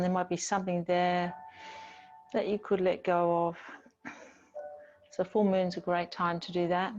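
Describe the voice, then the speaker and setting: A woman teaching yoga, giving gentle, soothing, unhurried guided instruction with pauses between phrases. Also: fairly low pitch, lo-fi sound.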